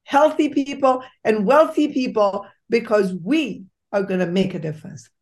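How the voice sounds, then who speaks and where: A middle-aged woman from Egypt recounts her life in an eager, emphatic way. She pauses on fillers, restarts sentences, and leans hard on key words as a podcast host and doctor. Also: a little high, a little mellow. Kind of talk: conversational storytelling interview.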